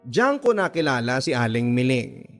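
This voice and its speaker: A man playing a gentle, earnest young grocery worker, telling his own story in measured, sentimental radio-drama narration with dramatic pauses. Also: medium pitch, sing-song, soft background music. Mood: reflective, warm. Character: gentle, earnest 24-year-old male grocery worker recounting his life.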